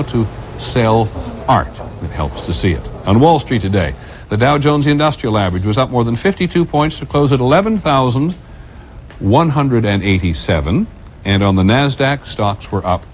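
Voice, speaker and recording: A man reading the evening news as anchor in a measured, authoritative way. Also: mid-range voice, background noise.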